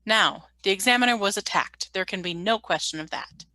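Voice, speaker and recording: Stern governor female performer, radio play. Mid-pitched, forceful, formal, dry close recording. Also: brisk, no echo, close to an everyday voice. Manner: formal, authoritative. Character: stern, questioning colony governor. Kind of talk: scripted radio play reading.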